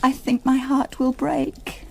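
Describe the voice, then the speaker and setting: Desperate young unmarried mother, gothic radio drama, very high voice, slow deliberate delivery, impassioned, possessive. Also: completely flat delivery, mellow, exaggerated voice. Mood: grieving, pleading.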